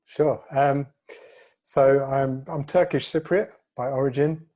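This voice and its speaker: A man's voice: mid-range voice, steady tone, takes it slowly, dark tone. A man around forty with a London accent, giving casual, modest, self-effacing answers as an interview guest. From North London, England. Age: 30s or 40s. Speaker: interview guest, fitness coach.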